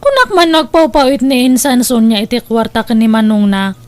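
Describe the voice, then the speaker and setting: A woman's voice: a little high, brisk, lightly acted. A woman playing a caring, inquisitive young confidante in an Ilocano audio drama, exclaiming and questioning, with an Ilocano accent. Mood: curious, concerned.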